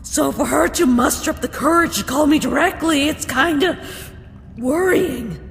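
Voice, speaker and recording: A woman voicing a brash, impatient female monster warrior in a fan comic dub, forceful and blunt. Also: high-pitched, moderate pace, gravelly, big-room sound, slight background noise. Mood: worried.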